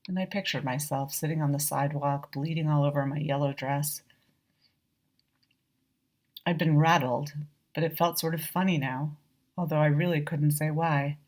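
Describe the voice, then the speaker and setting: A woman reading her own short story as measured, reflective literary narration, lightly acting out the dialogue. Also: low voice, fairly even intonation, a little mellow.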